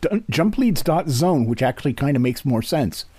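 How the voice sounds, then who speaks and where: A man's voice: medium pitch, sing-song, normal speed, dark tone, husky, no echo. A man hosting a radio show, interviewing and reading announcements.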